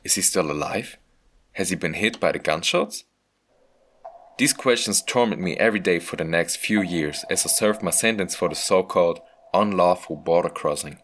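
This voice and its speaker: A man reading a scripted first-person story as a motion comic narrator, measured and earnest. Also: sits low, a bit bright, slight background noise.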